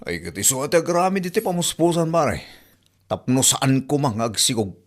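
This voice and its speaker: Exasperated Ilocano husband, middling pitch. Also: male voice, big pitch swings, moderate pace. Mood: weary complaining. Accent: Filipino, Ilocano. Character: exasperated husband and new father, stubborn, easily hurt. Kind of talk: Ilocano radio comedy drama acting.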